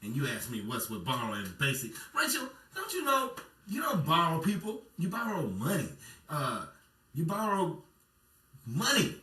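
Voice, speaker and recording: A man acts out an excitable 1950s radio comedy wisecracker. His voice is bright and swings widely in pitch as he sputters in disbelief. It is picked up from a distance in a roomy space. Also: normal speed, full character voice. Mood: exasperated. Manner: exclaiming, stammering in mock disbelief. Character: wisecracking, excitable male radio station pal, Mason. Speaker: actor and announcer in an old-time radio comedy. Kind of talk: 1950s old-time radio comedy acting. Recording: roomy distant mic, faint noise, audience.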